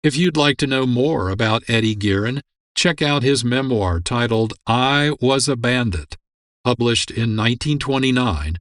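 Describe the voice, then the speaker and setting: A man's voice: medium pitch, unhurried, a bit bright, no room sound, clean studio sound. A man hosting a radio program, reading scripted narration and credits. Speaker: producer and host. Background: music.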